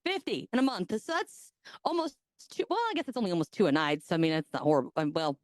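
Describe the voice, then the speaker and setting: A woman's voice: lowish voice, huge pitch swings, quick pace, no echo, studio quality. A woman co-hosting a podcast, telling a story in casual chat and cracking crude jokes with a laugh. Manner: bawdy, joking, laughs often. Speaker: podcast co-host.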